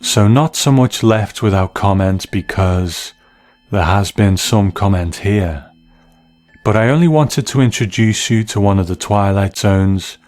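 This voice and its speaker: A man delivering scripted, reflective commentary with measured, deliberate phrasing, as the narrator of an essay. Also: lowish voice, darkish tone. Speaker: narrator, video essay host.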